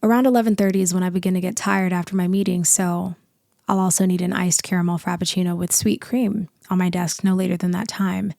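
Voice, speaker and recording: Low, creaky, commanding lady boss played by a woman. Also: no echo, studio quality. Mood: brisk, authoritative. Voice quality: deep, slightly creaky, hushed in places. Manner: slow, deliberate, commanding, with weighty pauses. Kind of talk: ASMR roleplay acting.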